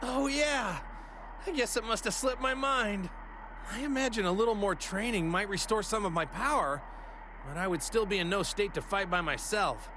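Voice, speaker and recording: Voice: mid-range voice, moderate pace, background noise, natural voice. An actor voicing a cheerful, naive martial arts hero in an anime video game, talking casually over music. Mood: easygoing. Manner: naive, casual, good-natured. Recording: music behind the voice.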